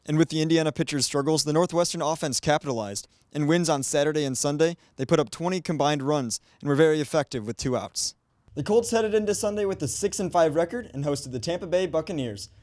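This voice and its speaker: A man's voice: fairly high pitch, fast, darkish tone. A man in his twenties with an American accent, working as a student sports reporter and play-by-play announcer. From United States. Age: 20s. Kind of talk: sports reporting, highlight reads and play-by-play commentary.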